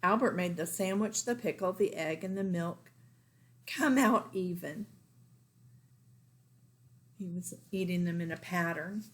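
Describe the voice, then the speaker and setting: A woman's voice: fairly low pitch, a little slow, long pauses. A woman teacher reading a picture book aloud expressively for young children. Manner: expressive, pointing out pictures to listeners.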